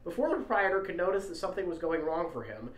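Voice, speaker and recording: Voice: medium pitch, sing-song, fast. A podcast co-host who moves between dramatic story narration and casual chat. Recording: echoey, roomy recording.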